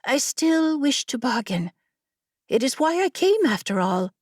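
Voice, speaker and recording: Woman playing an eager, high-voiced female scholar. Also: sing-song, unhurried, a little mellow, no room sound, studio quality, full character voice. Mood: pleading, determined. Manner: earnest, persuasive, eager. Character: quick-witted, bargaining female scholar and story collector. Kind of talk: fantasy audiobook character acting.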